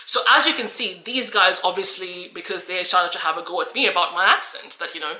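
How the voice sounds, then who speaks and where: A woman's voice: fairly low pitch, fairly quick, pushed and bright, roomy sound, low-quality recording. A woman who teaches English, telling a personal story to camera in an earnest, emphatic way. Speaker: English teacher and channel host.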